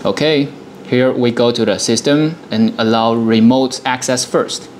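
A man in his thirties presenting a software demonstration, explaining things step by step in a dialogue. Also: mid-range voice, fairly even intonation, a little slow, a little hiss or hum. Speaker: product presenter.

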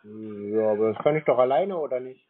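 A man's voice: mid-range voice, brisk, husky. Terse young man on a tinny phone line. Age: 20s. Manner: curt, dry, terse answers. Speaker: call recipient. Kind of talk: phone call.

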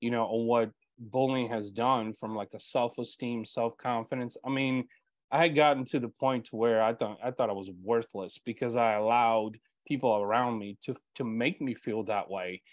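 A man's voice: mid-range voice, normal speed, no echo, clean studio sound. A man in his forties with a Bulgarian mother tongue, hosting an interview and telling his story earnestly, full of "you know" fillers, over earbuds on a video call. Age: 40s. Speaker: podcast host.

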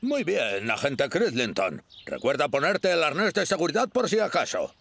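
A brisk fire chief. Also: male voice, on the high side, sing-song, creaky, a bit bright, slight background noise, heavily acted. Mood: commanding. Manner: commanding, brisk. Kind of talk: Spanish cartoon dub.

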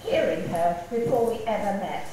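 A woman's voice: medium pitch, a crowd in the background. Woman giving a eulogy, very echoey. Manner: measured, halting, with wry humour. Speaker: eulogist at a memorial service. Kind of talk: eulogy, personal and anecdotal. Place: large stone village church, indoors. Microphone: far camera among the congregation. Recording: echoey church, distant mic, faint noise.

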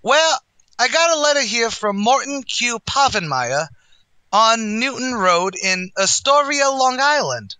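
A man's voice: very high-pitched, big pitch swings, measured pace, sharp tone, clear, resonant tone, dry recording, exaggerated voice. An actor playing a brash, boastful male tavern manager, in a wisecracking 1940s radio comedy style. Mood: chatty.

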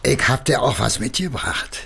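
An actor playing a cheerful, boastful, good-natured working-class husband with a Berlin accent, colloquial and wheedling, in an audio drama. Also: male voice, mid-range voice, expressive, normal speed, gravelly, a bit bright, a little hiss or hum. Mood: coaxing. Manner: colloquial, wheedling, hesitant storytelling. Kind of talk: German audio drama acting.

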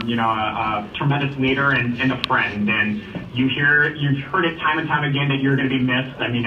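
A man giving a halting, heartfelt farewell to a coworker over a video call, with fillers and restarts. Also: mid-range voice, distant mic, a little hiss or hum. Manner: halting, fillers, a little nervous. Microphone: video call on room speakers. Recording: muffled call speaker, echoey room.

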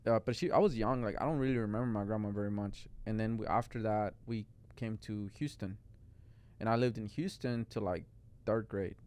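A man's voice: on the low side, fairly quick, a little mellow, smooth. A young man from Mexico, guest on a podcast, talking in a rambling casual chat full of "like" into a studio mic. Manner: rambling, lots of "like" and "bro". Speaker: guest artist. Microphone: studio mic on boom arm.

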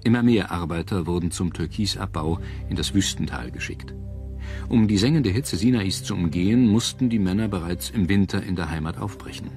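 A man narrating a scripted history documentary in a measured, dramatic storytelling manner. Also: low-pitched, a little mellow. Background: prominent music.

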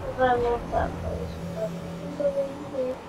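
High-pitched young-sounding voice, casual game chat. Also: fairly even intonation, normal speed, distant mic, very noisy. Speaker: duo partner in an online game.

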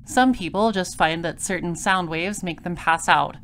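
A woman playing a shrewd, dry space patrol detective in an audio drama, explaining things deliberately. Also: lowish voice, fairly bright tone, a little hiss or hum. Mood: dry, sardonic.

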